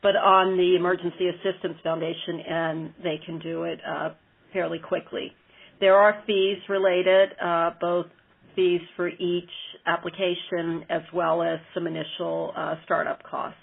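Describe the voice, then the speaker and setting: A woman explaining a workplace program informally on a conference call, with measured, hesitant phrasing. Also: fairly low pitch, little pitch movement, vocal fry. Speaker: corporate philanthropy staffer explaining a program. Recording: muffled, band-limited conference call line.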